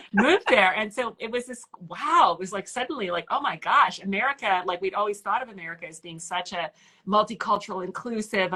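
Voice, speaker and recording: A woman author talking casually in a live-stream interview over a video call, animated and full of "like" fillers. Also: mid-range voice. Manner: animated and talkative, many "like" fillers. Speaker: author and illustrator guest.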